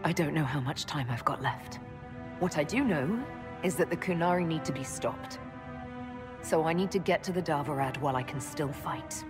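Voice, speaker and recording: A weary elf inquisitor. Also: female voice, low-pitched, moderate pace. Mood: resolute. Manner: quiet, steady, resolute. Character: weary female elf inquisitor, resolute leader. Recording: game audio with music.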